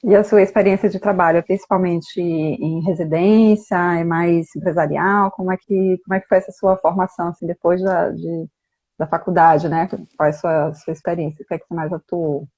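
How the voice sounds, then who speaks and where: A woman hosts a casual online interview in a relaxed, dark-toned voice. She is informal and chatty, checking in with little tag questions. The call audio is close and dry, a bit dull and lo-fi. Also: lowish voice, brisk, husky, dry recording. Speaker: host of a live chat.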